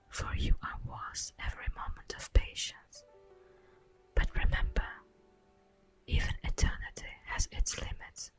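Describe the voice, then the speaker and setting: An immortal elf woman, played by a woman, whispers a fantasy ASMR monologue over music. Her breathy delivery is very slow and solemn, with poetic phrasing and long pauses. She sounds tender and wistful, with strongly rising and falling intonation. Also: fairly bright tone. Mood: solemn, patient.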